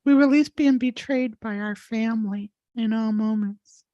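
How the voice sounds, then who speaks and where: Female energy healer and host, distinctly high-pitched, casual and encouraging, close dry recording. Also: unhurried, dark tone, dry recording, lo-fi sound. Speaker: host, energy healer leading a live session. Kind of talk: guided healing affirmations and casual chat. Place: live online video call.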